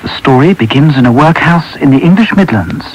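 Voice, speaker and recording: A man's voice: a little high, animated, fairly quick. A man reading a scripted introduction as the announcer of a radio drama. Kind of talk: scripted narration. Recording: hissy medium-wave radio recording.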